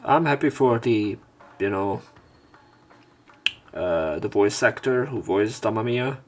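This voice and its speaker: A man's voice: fairly low pitch, animated, takes it slowly, a little hiss or hum. A man doing a let's play, reading a visual novel aloud as dramatic narration mixed with casual commentary. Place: voice-over on game footage. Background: faint game music.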